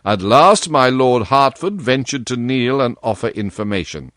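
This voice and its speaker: A man narrating a classic tale as a storyteller, in a measured, dramatic scripted style. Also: medium pitch, expressive, fairly bright tone, dry recording, clean studio sound.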